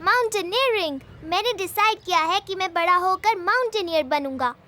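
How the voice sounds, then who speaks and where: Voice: very high voice, lively intonation, normal speed, bright, forceful tone, full character voice. A performer voicing an eager, imaginative little boy in a children's cartoon, with a wide-eyed, exclamatory way of talking. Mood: eager, dreamy.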